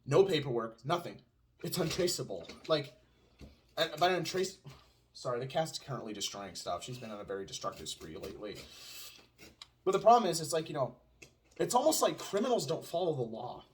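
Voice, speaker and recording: A man's voice: on the high side, sing-song, fairly quick, a little hiss or hum. Sarcastic ranting man with a Southern twang. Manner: sarcastic, ranting, answers his own mock questions. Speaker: podcast co-host. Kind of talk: casual opinionated chat. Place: home.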